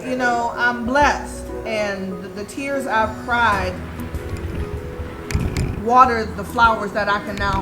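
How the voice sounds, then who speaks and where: A woman in her forties telling her own story of hardship as an earnest, preaching testimony at an open mic. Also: a little high. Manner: earnest, preaching in third person. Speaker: storyslam speaker. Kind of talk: personal testimony. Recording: echoey, far from the mic.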